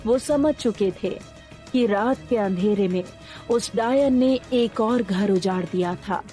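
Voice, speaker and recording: Woman narrating a horror tale, mellow. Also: mid-range voice. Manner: measured, suspenseful storytelling. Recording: faint music underneath.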